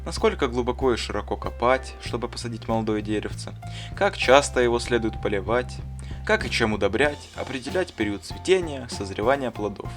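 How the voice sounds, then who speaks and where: A man's voice: medium pitch, expressive, fairly quick, close to an everyday voice. A man as an earnest, thoughtful male teacher, music behind. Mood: earnest, instructive. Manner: earnest, didactic, bookish phrasing. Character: thoughtful, kindly male schoolteacher who talks like a gardener. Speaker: teacher answering interview questions. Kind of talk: measured explanatory narration in an animated film. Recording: voice over clear background music.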